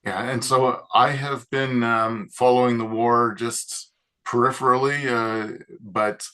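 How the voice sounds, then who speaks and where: A man's voice: mid-range voice, fairly even intonation, slow, pushed and bright. A man hosting a panel discussion, talking casually and loosely with frequent fillers. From Canada. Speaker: host, mechanical engineer.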